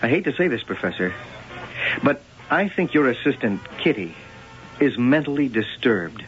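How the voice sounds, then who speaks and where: An actor playing an earnest, prying police lieutenant in a radio mystery drama, conversational and insistent. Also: male voice, medium pitch, very animated, moderate pace, faint music behind, close to an everyday voice. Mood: earnest, urgent. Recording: dull, hissy 1980 radio broadcast.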